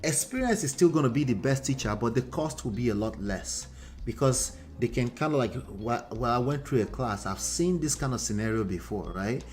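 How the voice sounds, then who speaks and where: A man's voice: mid-range voice, normal speed. A man with a Nigerian accent, Yoruba as his first language, hosting a casual live talk on relationships and reading viewer comments aloud, repeating phrases for emphasis. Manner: animated, repeats phrases for emphasis, switches between loud appeals and quiet asides. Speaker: relationship coach and host.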